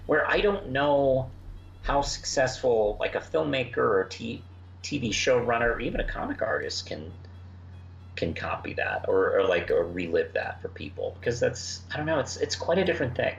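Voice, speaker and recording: A man co-hosting a casual review discussion, thinking aloud in a hesitant, halting way. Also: medium pitch, expressive, gravelly. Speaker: podcast co-host. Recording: dull lo-fi sound, faint background noise.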